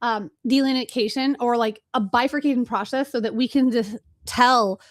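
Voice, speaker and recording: Higher-voiced female webinar host from Chicago; fast, direct, energetic, casual with filler words. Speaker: webinar host and agency trainer. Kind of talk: live webinar teaching.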